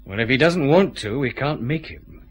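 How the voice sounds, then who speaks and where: An actor playing a weary, courteous detective chief inspector in a naturalistic radio drama. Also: male voice, medium pitch, expressive, normal speed, breathy edge. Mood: gentle, awkward.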